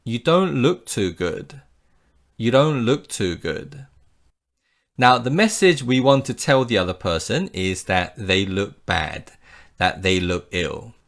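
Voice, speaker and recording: A man's voice: lowish voice, big pitch swings, slow, vocal fry. A man teaching an English lesson, explaining phrases patiently and modelling example sentences for the listener to repeat. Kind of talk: slow scripted English lesson.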